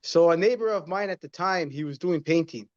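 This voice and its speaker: A man in his thirties with a slightly high voice, speaking earnestly and circling back to his points like a motivational speaker. The recording sounds a bit dull and muffled, as if through a modest mic. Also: fairly quick. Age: 30s. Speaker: guest, inspirational speaker. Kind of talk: casual interview conversation.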